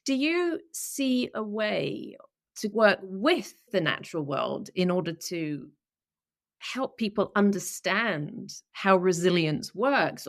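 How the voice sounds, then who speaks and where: Thoughtful woman podcast host. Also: lowish voice. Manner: thoughtful, searching, slow phrasing with restarts. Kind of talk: conversational interviewing.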